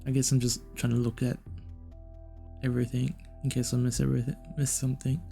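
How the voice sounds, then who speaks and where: A man's voice: mid-range voice, completely flat delivery, fairly quick, gravelly, dark tone. A man giving casual, puzzled, hesitant gameplay commentary, trailing off and repeating himself. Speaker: gaming video narrator. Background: game music.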